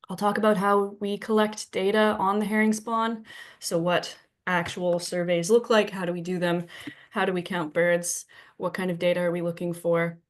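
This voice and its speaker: A young woman from Victoria, British Columbia, a little sick and sniffling, giving a friendly talk to a club audience from home, with many ums and restarts. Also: fairly low pitch. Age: young adult. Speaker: guest presenter. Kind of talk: remote presentation talk. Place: home, presenting remotely.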